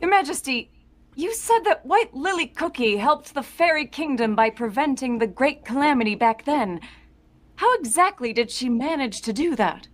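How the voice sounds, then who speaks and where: A woman playing a warm-hearted, inquisitive young heroine in a live table read, with an expressive, questioning manner. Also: high voice, normal speed, a little hiss or hum. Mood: curious.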